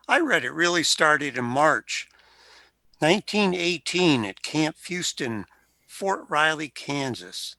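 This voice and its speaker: An actor playing the ghost of a World War One veteran, telling his story slowly in an amateur radio play. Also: a little high, bright, forceful tone, no room sound, heavily acted.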